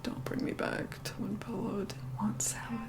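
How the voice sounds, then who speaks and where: A woman's voice: mid-range voice, level tone, normal speed, creaky, close to an everyday voice. Snide whispering vampire woman played by an actress. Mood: snide, grudging. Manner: sarcastic, condescending, bossy, sighing. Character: snobbish, reluctant young female vampire giving a makeover. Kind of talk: whispered ASMR roleplay.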